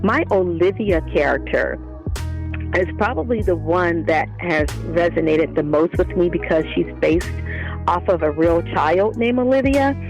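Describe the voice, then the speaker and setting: A woman's voice: lowish voice, unhurried, a little mellow, lo-fi sound, soft background music. A woman speaking as a guest author, giving earnest, explanatory answers in a conversational interview. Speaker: guest, children's book author.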